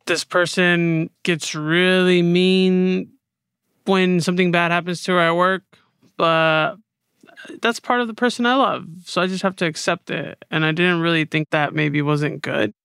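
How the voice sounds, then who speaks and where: A man's voice: high-pitched, steady tone, measured pace, creaky, fairly bright tone, clear, resonant tone, no room sound, studio quality. A man co-hosting an interview podcast, asking questions in a casual, informal way peppered with "like". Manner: informal, frequent 'like'.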